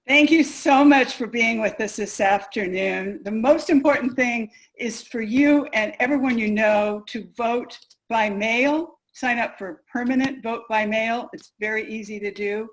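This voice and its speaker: A woman's voice: a little high, unhurried, few pauses, poor audio. A woman making a direct, instructive appeal to an audience.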